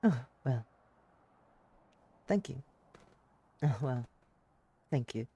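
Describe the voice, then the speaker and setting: Woman doing a soft-spoken Russian-accented woman. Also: extremely low pitch, expressive, drawn-out words, slightly rough, pitched below the performer's own voice, heavily acted. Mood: shy. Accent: attempted Russian. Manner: soft, hesitant, broken phrasing. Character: shy quiet woman with a put-on Russian accent.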